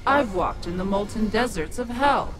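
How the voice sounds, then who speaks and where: A woman's voice: medium pitch, expressive, normal speed, lightly acted, low-quality recording. A woman voicing a cruel, haughty ancient demon sorceress in a video game, gloating and threatening. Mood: gloating threat. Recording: music behind the voice.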